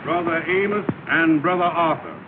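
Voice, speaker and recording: Pompous lodge boss played by a male actor, fairly high voice, declamatory and officious, early talkie comedy with muffled sound. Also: moderate pace, lightly acted. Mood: solemn, officious. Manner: ceremonious, declamatory, with malapropisms. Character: pompous lodge leader, middle-aged man, solemnly officious. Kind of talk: 1930 comedy film acting in stereotyped dialect. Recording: muffled, noisy 1930 film soundtrack.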